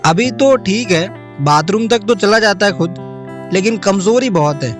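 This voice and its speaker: A man's voice: sits high, animated, normal speed, fairly bright tone. A loyal young small-town friend, played by a man whose voice sits well above most men's. He speaks earnestly and respectfully to his elders. Faint music plays behind him. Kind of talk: audio drama acting.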